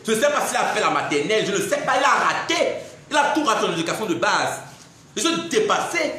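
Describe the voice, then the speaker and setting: A young man with a Cameroonian French accent rants to his viewers, indignant and mocking, laughing between jabs. His voice sits high and sounds bright and sharp. The sound bounces around a very echoey room. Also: sing-song, fast, slight background noise. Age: 20s. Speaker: social media personality. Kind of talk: ranting live video monologue.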